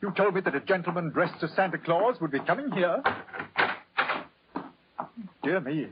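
An actor playing an anxious English butler, husky, formal and deferential, with a British accent, in a 1940s radio drama. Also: male voice, high voice, expressive, moderate pace, background noise. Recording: muffled 1940s radio recording.

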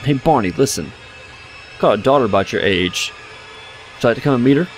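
A man playing a kindly, fatherly stranger in a game cutscene, coaxing a frightened child with soft, steady reassurance and a lilting way of telling a story. His voice sits at an ordinary middle pitch, and music plays clearly behind him. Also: brisk, natural voice. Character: gentle, fatherly man soothing a sick boy. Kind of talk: video game cutscene acting.

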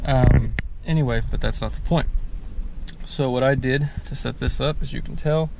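A man's voice: medium pitch, normal speed. An American man in his thirties chatting casually and laid-back, rambling as he explains things like a vlogger. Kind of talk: casual chat, explaining a DIY install. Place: in and beside a parked pickup truck. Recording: clear vehicle and outdoor ambient noise.

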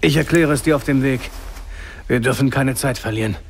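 An actor playing a wounded, determined father with a gravelly voice. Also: mid-range voice, a little fast, noisy background, close to an everyday voice. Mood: resolute. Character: wounded, resolute older father.

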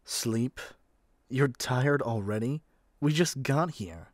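A man's voice: mid-range voice, takes it slowly, no room sound, studio quality. A man playing a haughty, impatient god in an audio roleplay, with an imperious, theatrical way of talking. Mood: impatient, exasperated. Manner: imperious, theatrical, addresses listener as mortal. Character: haughty, impatient male god of magic rooming with a human.

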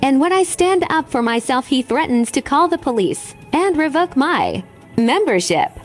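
High mocking woman in a gym clip. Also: animated, moderate pace. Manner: mocking, sing-song taunt. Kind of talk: taunting social media clip. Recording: echoey gym clip with loud music.

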